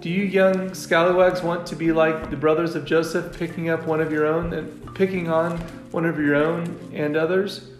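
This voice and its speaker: A man's voice: a little high, flat delivery, normal speed, voice pushed up, natural voice. A man voicing a kindly but firm older woman in a storybook, scolding in a folksy, motherly way. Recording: roomy echo, music behind.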